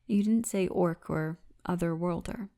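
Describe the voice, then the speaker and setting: A woman voicing a big orc guardswoman, gentle, wry and commanding, in a hushed, breathy ASMR roleplay murmur. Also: on the low side, a little slow. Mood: probing, tender.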